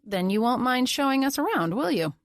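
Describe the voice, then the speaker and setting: A woman's voice: sits high, sing-song, fairly quick, dry recording, clean studio sound, voice pushed up. A woman voicing a polite but firm, wry male police officer in an audiobook. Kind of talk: audiobook character dialogue.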